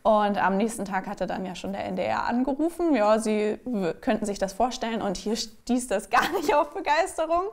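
A woman's voice: mid-range voice, expressive, moderate pace, few pauses. A woman in her twenties from northern Germany who works as a physiotherapist and on a farm, talking openly in an interview. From Schleswig-Holstein, Germany. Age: 20s. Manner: chatty and open, with small fillers. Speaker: physiotherapist and farmer. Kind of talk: casual interview talk.

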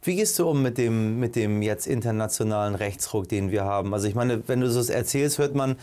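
A man in his thirties, an interviewer, talking in a relaxed way with many fillers and restarts. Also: lowish voice, brisk. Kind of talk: casual interview chat.

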